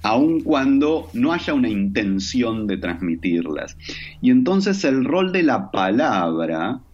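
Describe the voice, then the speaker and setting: A man's voice: mid-range voice, very animated, darkish tone. Reflective man, muffled audio. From Argentina. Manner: reflective, measured, pauses with "eh" and "¿no?". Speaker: guest. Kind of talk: explanatory interview answers.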